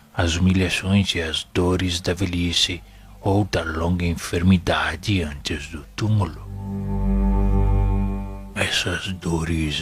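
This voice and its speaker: Man playing a deep, solemn spirit mentor. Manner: solemn, measured, formal, instructive. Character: wise, serene male spirit mentor and minister. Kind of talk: audio drama, solemn didactic dialogue. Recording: music playing behind the voice.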